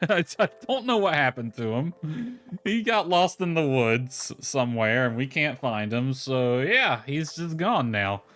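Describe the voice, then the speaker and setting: A man's voice: medium pitch, big pitch swings, a little slow, a bit bright. A man giving casual live gameplay commentary, rambling and thinking aloud as he goes. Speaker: game streamer. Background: background music.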